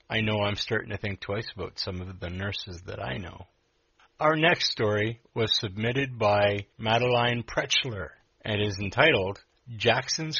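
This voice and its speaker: A male host with a low, rough-edged voice presents scripted podcast introductions. The recording is a little muffled and lo-fi. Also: pushed and bright.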